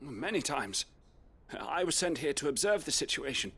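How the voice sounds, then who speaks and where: A man's voice: a little high, big pitch swings, normal speed, a bit bright, breathy edge, full character voice. An actor playing a polite male servant and agent in a fantasy video game, speaking in a courteous, formal manner. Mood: composed, courteous. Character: polite, well-dressed male servant agent, composed scout.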